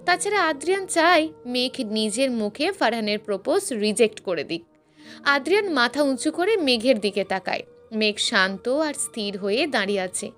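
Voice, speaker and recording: A woman reading a scripted story as a narrator, with dramatic, emotive delivery. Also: high-pitched. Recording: music bed under the narration. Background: background music.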